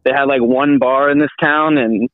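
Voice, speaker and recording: A man in his late twenties, a podcast guest, talking casually in a halting, rambling way full of restarts. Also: medium pitch, fairly even intonation, dry recording. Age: 20s. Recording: tinny phone-like line.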